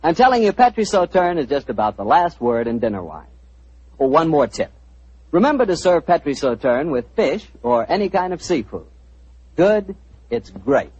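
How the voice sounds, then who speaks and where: A man's voice: on the high side, big pitch swings, moderate pace, a little mellow, breathy edge, no echo. Hearty 1940s radio announcer, man. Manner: hearty, salesman-like. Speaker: announcer and show host. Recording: dull old radio recording.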